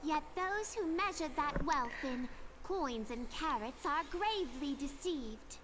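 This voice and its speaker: A woman's voice: high-pitched, expressive, background noise. A woman playing a young, solemn and earnest sultana, giving a formal, lofty address to her subjects in a video game. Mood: solemn, uplifting. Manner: stately, measured, lofty. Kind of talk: formal ceremonial speech, video game acting.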